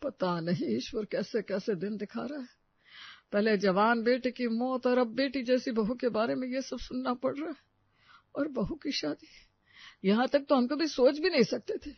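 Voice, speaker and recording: A woman's voice: on the high side, normal speed, husky, no echo. A humble elderly father-in-law, acted by a woman, laments wearily in a family story melodrama. The sound is dry and somewhat dull. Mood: grieving. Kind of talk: Hindi audio story melodrama.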